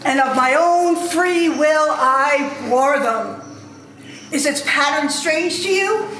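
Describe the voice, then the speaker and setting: A woman's voice: high-pitched, slow pace, fairly bright tone. A woman in an amateur dramatic reading playing a mournful male ghost in chains who declaims solemn warnings. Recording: very echoey room, faint background noise.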